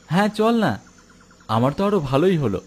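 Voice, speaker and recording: A man's voice: a little high, sing-song, normal speed. A man voicing a friendly, easygoing young village boy in a cartoon. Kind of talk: cartoon voice acting.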